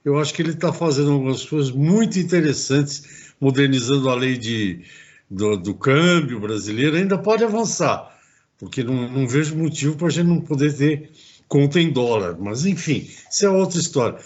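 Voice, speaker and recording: A man's voice: medium pitch, expressive, normal speed, darkish tone. Chatty male talk-show host, lo-fi. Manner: chatty, rambling with restarts. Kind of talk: casual interview talk.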